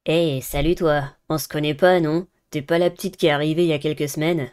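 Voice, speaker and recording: Woman putting on a low, cool schoolgirl. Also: steady tone, a little fast, darkish tone, clean tone, lightly acted. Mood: cool, casual. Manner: laid-back, slangy. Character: cool, casual, cocky teenage schoolgirl.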